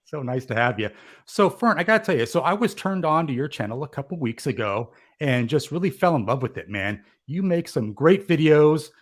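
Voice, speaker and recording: A man's voice: a little high, sing-song, fairly quick. Enthusiastic man hosting a live show. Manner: enthusiastic, effusive. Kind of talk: casual live chat.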